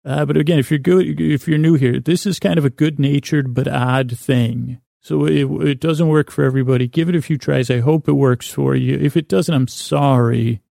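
A man hosting a bedtime podcast with a creaky voice, rambling in a halting, self-deprecating way that wanders and breaks off mid-word. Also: medium pitch, steady tone, warm tone, no room sound, studio quality. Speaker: podcast host. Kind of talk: rambling bedtime monologue to help listeners sleep.

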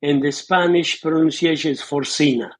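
A man's voice: fairly high pitch, steady tone, moderate pace. A man in his eighties with an Italian accent, a retired surgeon speaking as a podcast guest, telling his life story earnestly and often restarting as he searches for words. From Italy. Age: 80s. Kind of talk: reminiscing interview storytelling.

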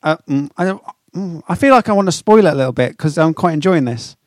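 A man's voice: on the high side, expressive, fast, a little mellow, no room sound, studio quality. A British man co-hosting a podcast, acting as quizmaster in casual banter with a teasing, sweary manner. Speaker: podcast co-host.

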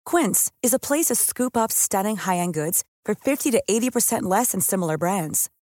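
Lively female ad voice, dry sound. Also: mid-range voice, moderate pace, dry recording. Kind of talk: scripted advertisement.

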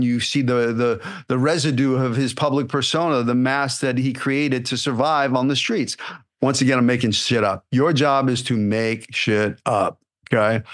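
An acting coach talks straight at his listeners, blunt and animated, tossing out rhetorical questions. His voice sits in a middling register for a man, and his talk is loose and casual, full of fillers and restarts. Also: medium pitch. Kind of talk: solo podcast advice.

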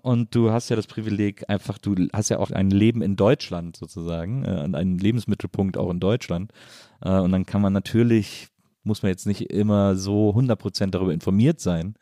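A man's voice: lowish voice, a little fast, mellow, no room sound, clean studio sound. A man hosting a casual conversational podcast, musing informally with roundabout phrasing. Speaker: podcast host.

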